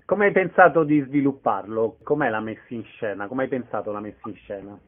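Man, interviewer, average pitch, short questions, thin telephone sound. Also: brisk, narrow, tinny audio.

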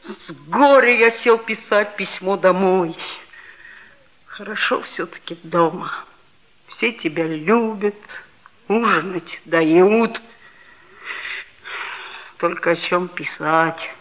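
A woman's voice: lowish voice, slow, pauses often. A woman playing a boastful, sulky schoolboy who narrates his misadventures expressively in a 1960s Soviet radio drama. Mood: glum, worried. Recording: dull, lo-fi old radio recording.

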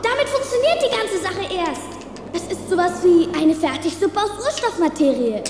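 A woman playing a gentle, wistful and eager boy ghost in a German audio drama. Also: very high voice, animated, moderate pace, noisy background. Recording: echoey hall, music behind.

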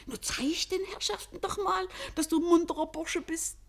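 A woman's voice: very high voice, steady tone, moderate pace, gravelly. An actress playing a friendly, proud showman's wife in a radio play, with a singsong foreign accent and polite, coaxing sales patter.

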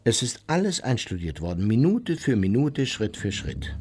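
A man's voice: on the low side, wildly swooping pitch, normal speed, a little mellow, slightly rough, heavily acted. An actor playing an unrepentant old war criminal in a radio play, recounting his deeds in a smug, matter-of-fact, clipped manner. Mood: boastful. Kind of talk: radio play monologue and interview answers.

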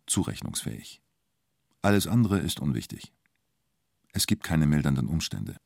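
A man's voice: very deep voice, gravelly, no echo, studio quality, heavily acted. A man playing the detached narrator of a true-crime radio drama, speaking in terse, matter-of-fact sentences.

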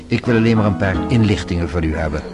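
An actor playing a shrewd, married French police inspector in a radio play, speaking formally and politely with authority. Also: male voice, low voice, fast talker, over music, natural voice. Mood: probing, polite.

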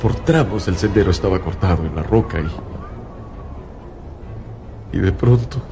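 A man's voice: low-pitched, normal speed, lightly acted. An actor playing a haunted, grieving scientist who narrates his tragedy in the first person in a radio drama. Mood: somber. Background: music.

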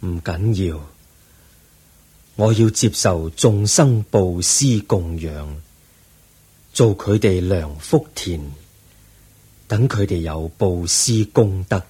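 Slow, husky, serene old Buddha. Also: male voice, low-pitched, full character voice. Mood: gentle, weary. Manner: measured, solemn, gentle. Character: serene, compassionate old male Buddha giving final teachings. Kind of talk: Cantonese radio drama acting.

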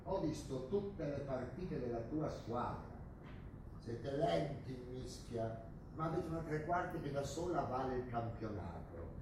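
A man's voice: mid-range voice, expressive, unhurried, lightly acted. A male stage actor playing a menacing, boastful army captain of a dictatorship, pompous and scornful in a theatrical, declamatory way. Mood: mocking. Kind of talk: theatrical stage drama acting. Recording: very echoey distant stage recording, noisy.

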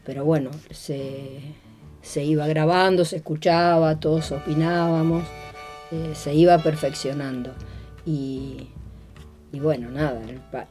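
A woman's voice: sits low, warm tone. A woman with an Argentine accent recalling things casually in an interview, reflective and searching for her words. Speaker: former radio drama performer, interviewee. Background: music.

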